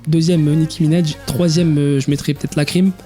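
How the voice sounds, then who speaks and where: A man's voice: a little high, little pitch movement, a little fast, dark tone, soft background music. A young man in his twenties, a DJ, talking casually in an interview, hesitant with many restarts and fillers. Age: 20s. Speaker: DJ guest.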